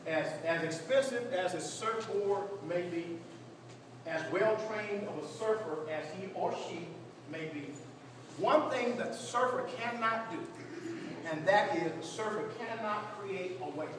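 A man's voice: sits high, measured pace. A man speaking as a pastor leading a church workshop, preaching and teaching emphatically, repeating phrases and seeking responses from his listeners. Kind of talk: preaching-style teaching to a live group. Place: church meeting room with seated audience. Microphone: distant camera among the audience. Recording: echoey hall, distant mic, noisy room.

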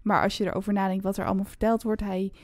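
A woman's voice: medium pitch, little pitch movement, racing, dry recording, studio quality. A woman co-hosting a podcast in a casual discussion about books. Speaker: podcast co-host.

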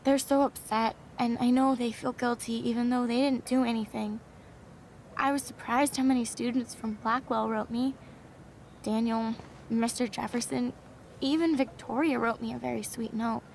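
A woman's voice: a little high, fairly even intonation, moderate pace, vocal fry, darkish tone, a little hiss or hum. Kate, a shy devout girl. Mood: sincere. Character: shy devout young woman student, gentle. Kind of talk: video game dialogue.

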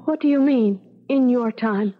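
High-voiced plucky young bride, played by a woman. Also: unhurried, close to an everyday voice. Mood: questioning. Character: plucky, bewildered modern young bride in blue jeans. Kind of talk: 1970s radio drama acting. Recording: dull, very noisy 1970s radio broadcast.

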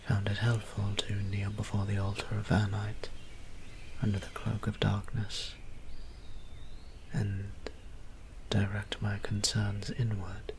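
A man playing a gentle, doting young vampire priest in an ASMR roleplay, speaking softly and half-whispering in polite, old-fashioned phrases. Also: lowish voice. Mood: sheepish, weary. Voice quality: soft, breathy, half-whispered. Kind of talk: soft-spoken ASMR roleplay. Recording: steady background ambience noise.

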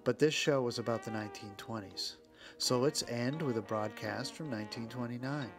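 A man narrating a scripted documentary podcast in a measured way, with dramatic pauses. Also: mid-range voice. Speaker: podcast host. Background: music.

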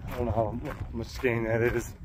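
A man's voice: mid-range voice, flat delivery, moderate pace. A middle-aged man filming his own mountain hike outdoors on a phone, giving short, matter-of-fact updates on time, distance and elevation. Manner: terse, matter-of-fact, clipped status remarks. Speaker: hiker filming his climb. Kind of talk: casual trail vlog updates. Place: outdoors on a high mountain trail. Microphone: handheld phone camera. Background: faint wind.

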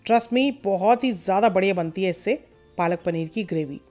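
Woman, mid-pitched, calm cooking-show voice-over with light music behind. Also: moderate pace. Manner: calm step-by-step instruction, mixing in English words. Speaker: cooking channel host. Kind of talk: recipe voice-over.

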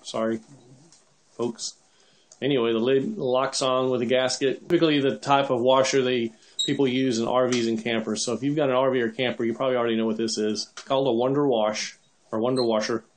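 Man, mid pitch, chatty step-by-step explainer, handheld camera mic, kitchen, faint hiss behind. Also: fairly even intonation, brisk. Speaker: channel host filming a demo. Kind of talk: casual handheld narration. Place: home kitchen, indoors.

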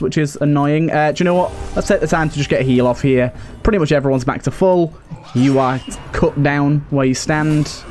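Higher-voiced man doing casual game commentary. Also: a little mellow. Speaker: gaming video host.

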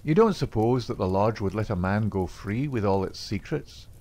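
A man's voice: lowish voice, animated, normal speed, slight background noise. A man doing a coaxing rogue. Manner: coaxing, reassuring, soft-spoken. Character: charming Irish secret-society man, smooth-talking lover.

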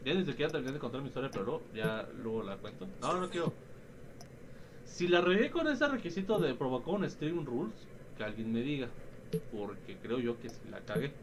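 A man's voice: medium pitch, muffled recording, a little hiss or hum. A young man with a Mexican accent giving casual, slangy, profane commentary on a video game. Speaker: gaming YouTuber.

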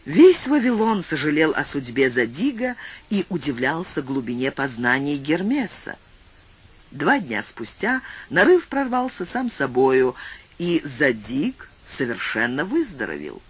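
A male audiobook narrator reads a classic tale with an expressive, swooping delivery. His voice sits high for a man, and his pitch rises and falls in wide, animated arcs. He shifts his pace freely as he tells the story. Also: unhurried, slightly rough. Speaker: audiobook reader.

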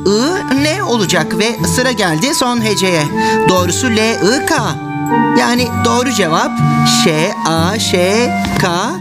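A woman's voice: medium pitch, lively intonation, close to an everyday voice. A woman voicing an earnest, dutiful young goatherd boy in a dubbed cartoon. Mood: concentrated. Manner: earnest, deliberate. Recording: loud music mixed behind the voice.

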